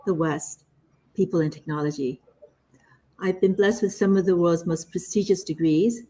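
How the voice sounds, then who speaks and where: A woman's voice: lowish voice, mellow, rough recording. A middle-aged woman from the United States introducing herself on a panel in a confident, polished way. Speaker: panelist, entrepreneur.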